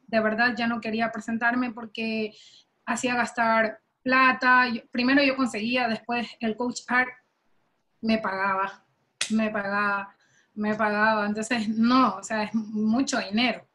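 A woman's voice: on the high side, fairly even intonation, measured pace. Hesitant young woman from Ecuador. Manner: soft-spoken and hesitant, with many fillers. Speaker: softball player. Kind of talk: interview over video call.

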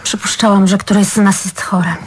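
Stage play: actress as sardonic female lover, needling, medium pitch, noisy ambient recording. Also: steady tone, normal speed, a little mellow, natural voice.

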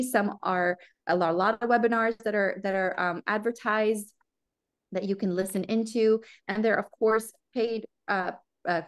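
A Lebanese American woman in her thirties answering seminar questions over a video call, earnest, with many ums and restarts. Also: mid-range voice. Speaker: guest speaker. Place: home room.

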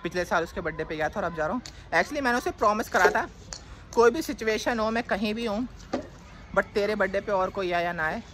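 A man, chatting casually and animatedly to viewers as a vlogger, often repeating his phrases. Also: high voice. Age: 20s. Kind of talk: casual vlog chat and running commentary. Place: open urban rooftop, outdoors. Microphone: phone camera, near to a few metres away. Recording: noisy outdoor phone recording with people around. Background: outdoor city noise, voices.

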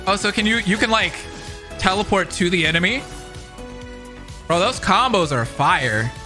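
Bright, animated young male streamer. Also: high-pitched, normal speed. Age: young adult. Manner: hyped, exclamatory, slangy. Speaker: reaction streamer. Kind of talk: excited live reaction commentary. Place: indoor room, streaming setup. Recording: game trailer music faintly behind.

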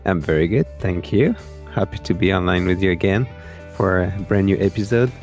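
Deep-voiced man co-hosting a podcast. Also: normal speed, gravelly, a little mellow, faint music behind. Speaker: podcast co-host. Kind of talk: casual tech podcast conversation.

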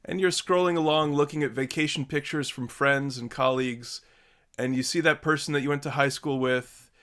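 A man's voice: mid-range voice, steady tone, moderate pace, a bit bright. Earnest man hosting a video call. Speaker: host. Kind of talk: scripted opening remarks. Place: home study with bookshelves.

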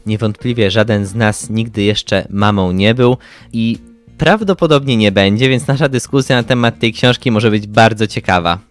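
A man's voice: fairly low pitch, normal speed. A young man presenting a podcast as co-host, speaking from prepared material. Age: young adult. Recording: music playing behind the voice. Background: background music.

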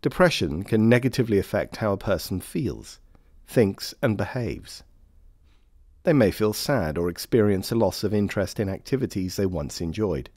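A man reading scripted informational narration for a training film in a measured, formal manner. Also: lowish voice, animated, a little mellow, no room sound, studio quality. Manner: measured, formal, clearly phrased.

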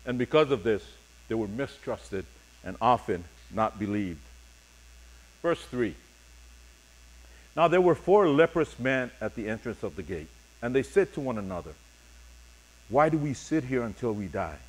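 A middle-aged man preaching a sermon as pastor, reading scripture and speaking deliberately in short, paused phrases. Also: medium pitch, lively intonation, poor audio. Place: church sanctuary, speaking from a pulpit on stage.

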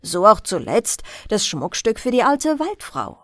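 A woman narrating a fairy tale as a bedtime audiobook, in an expressive, unhurried storytelling manner. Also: mid-range voice, no room sound, clean studio sound.

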